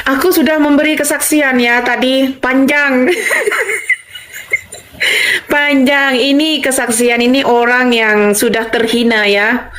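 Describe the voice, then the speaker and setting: A woman speaks in a fairly high voice, giving an informal religious testimony. She is fervent and repetitive, circling back to the same reassurances again and again. She addresses her listeners as brothers and sisters throughout. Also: fairly even intonation, slow, a bit bright, a little hiss or hum, rough recording. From Madura, Indonesia.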